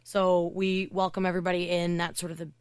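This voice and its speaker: A woman hockey coach from Canada, a podcast guest, talking in a loud, chatty way with lots of "like" fillers. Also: fairly low pitch, level tone, clear, resonant tone, no room sound. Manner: chatty, fast storytelling with many "like" fillers. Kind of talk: casual podcast interview.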